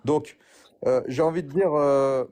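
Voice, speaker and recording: A man's voice: a little high, a little slow, darkish tone. A man speaking to a young debater with hesitant delivery and frequent filler sounds. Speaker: debate participant. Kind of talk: addressing a debater.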